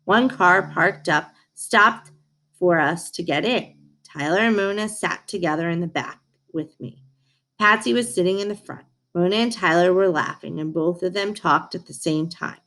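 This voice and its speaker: A woman reading a storybook aloud to young listeners in an expressive, direct way, as a read-aloud host. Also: on the low side, a little slow.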